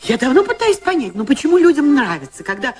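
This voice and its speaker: An actress playing an imperious, witty society princess in a radio play, grand, exclamatory and drily sarcastic. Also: female voice, high-pitched, sing-song, quick pace. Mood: exasperated disdain. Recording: music playing behind the voices.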